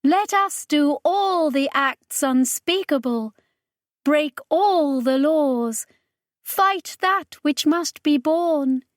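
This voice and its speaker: A woman voicing a solemn alien crime lord who speaks in measured, grandiose, chanting oratory for an audiobook. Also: high voice, expressive, no room sound, clean studio sound, pitched above the performer's own voice, heavily acted. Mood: solemn, defiant.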